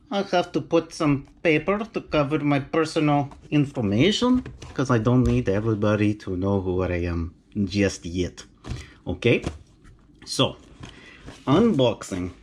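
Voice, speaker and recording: A man's voice: mid-range voice, a little mellow, slight background noise. A middle-aged man from Romania with a Romanian accent, chatting enthusiastically to viewers as he unboxes a knife. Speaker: knife collector vlogger. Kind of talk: casual unboxing vlog.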